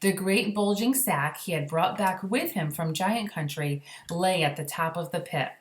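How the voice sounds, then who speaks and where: A woman with a slightly low voice reads a storybook aloud. She pauses for casual, explanatory asides, and the room sounds echoey. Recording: roomy indoor echo.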